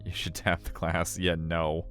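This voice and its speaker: A man's voice: low voice, completely flat delivery, unhurried, fairly bright tone, soft background music. A man, casually commenting on and narrating a game in an informal, rambling way. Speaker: streamer reading a visual novel.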